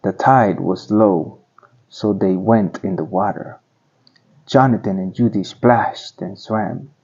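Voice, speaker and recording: Male storybook reader, unhurried, husky, deliberate phrasing for children, slightly muffled lo-fi recording. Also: lowish voice, darkish tone. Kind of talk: read-aloud storybook narration.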